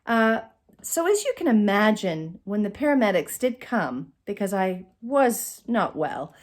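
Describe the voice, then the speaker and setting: A middle-aged woman telling a personal comic story to camera in a theatrical, expressive way with dramatic pauses. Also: medium pitch. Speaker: storyteller, channel host. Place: indoors, small curtained room. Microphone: phone at arm's length.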